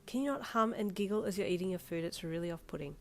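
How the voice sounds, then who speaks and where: A woman voicing a young woman who switches between dry deadpan and shrieking excitement. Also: on the low side, fast, slight background noise.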